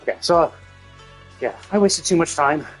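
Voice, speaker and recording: High-pitched man rambling on a game stream. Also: moderate pace, faint music behind. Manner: rambling, opinionated; casual swearing. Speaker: live streamer. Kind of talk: casual live game stream commentary.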